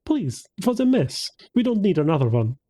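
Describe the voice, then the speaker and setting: A stern woman with a Russian accent as a male narrator plays her in a story. She admonishes in formal phrasing, with a husky, dark tone. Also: high-pitched, sing-song, normal speed, dry recording, studio quality, pitched above the performer's own voice. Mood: admonishing.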